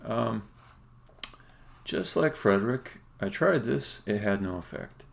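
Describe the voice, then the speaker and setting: A man talking casually and haltingly as he thinks aloud on a live coding stream, with some words stressed emphatically. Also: on the low side, expressive, creaky. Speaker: game developer livestreaming.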